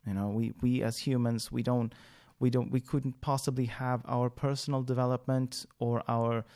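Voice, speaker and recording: A man whose first language is Swedish, hosting a podcast in English and talking through his thoughts out loud. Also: medium pitch, flat delivery, normal speed. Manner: thinking aloud, frequent fillers and restarts. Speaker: podcast host. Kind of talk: solo podcast monologue.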